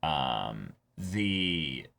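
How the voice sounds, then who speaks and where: A man co-hosting a reaction show, casually analysing a story in an explanatory way, often halting to search for words. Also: a bass voice, big pitch swings, creaky, sharp tone. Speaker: co-host of an anime reaction show.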